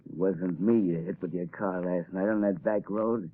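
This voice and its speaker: Tough gangster, lowish voice, sneering slang, tinny 1930s radio broadcast. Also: male voice, steady tone, normal speed. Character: gruff male gangster, menacing, sneering. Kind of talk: 1930s radio drama acting.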